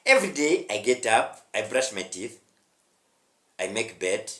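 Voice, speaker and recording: A man's voice: lowish voice, very animated, measured pace, sharp tone, echoey room. A young Rwandan man with a Rwandan accent teaching a spoken English lesson, emphatic and often checking in with his listeners. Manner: emphatic, checks in with "huh?" and "hmm?".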